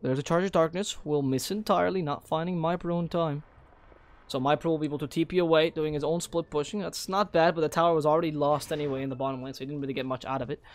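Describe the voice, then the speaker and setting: A man calls an esports match play by play in a fairly high register. His voice is naturally low, but he keeps it lifted for the cast. He gets excitable and breaks into high shouts when the big plays land. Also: fast. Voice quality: naturally low voice kept higher for casting. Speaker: esports caster.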